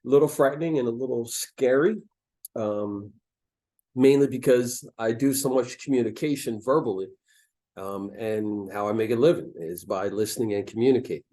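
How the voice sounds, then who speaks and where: American man, talk show host doing a solo monologue; slightly crackly recovering voice, halting but emphatic delivery, mid-range pitch. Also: dark tone. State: slightly crackly voice after losing it.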